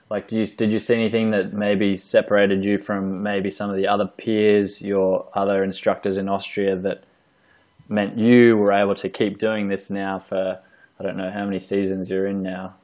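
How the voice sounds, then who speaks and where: A man's voice: low voice, completely flat delivery, normal speed, darkish tone. A man hosting a podcast interview, asking loose questions full of fillers and restarts. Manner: hesitant, filler-heavy questions. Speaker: podcast host. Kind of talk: casual interview. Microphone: online call recording.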